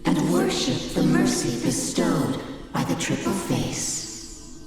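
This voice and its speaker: A solemn entity that half sings every line in a slow, ceremonious cadence. Her pitch rises and falls widely. Music plays under the voice. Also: wildly swooping pitch, heavily acted. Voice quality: sung, chanting delivery. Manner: grave, ceremonious, archaic phrasing. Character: solemn choral female entity addressing a child. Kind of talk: video game cutscene voice acting.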